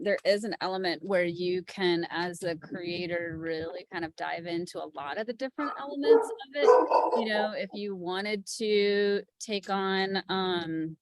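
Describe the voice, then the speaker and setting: A woman's voice: mid-range voice, moderate pace. A woman speaking casually as a producer on a panel, thinking aloud in loose, looping phrases. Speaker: podcast executive producer, panelist.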